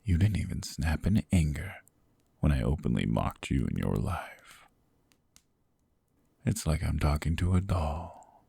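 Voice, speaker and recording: A cold, possessive demon king, voiced by a man, looms over his captive in a slow, condescending drawl, dropping into gravelly whispers right at the ear. His voice sits extremely low and very husky, with a rough fry on the ends of phrases. The close ASMR recording is dry, with no room around it. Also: very animated, warm tone, no room sound, full character voice. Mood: mocking. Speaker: voice actor. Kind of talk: ASMR roleplay audio.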